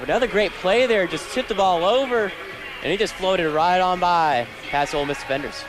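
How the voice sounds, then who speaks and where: Young dude doing color commentary on volleyball, high voice, music behind. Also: male voice, normal speed, rough recording. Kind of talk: live sports analysis.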